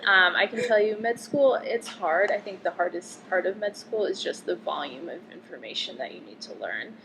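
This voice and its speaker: A woman's voice: medium pitch, animated, moderate pace, vocal fry, background noise. A woman in her twenties from Connecticut, a medical student answering interview questions casually, with lots of "um" and "like". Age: 20s. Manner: chatty, frequent "um" and "like" fillers.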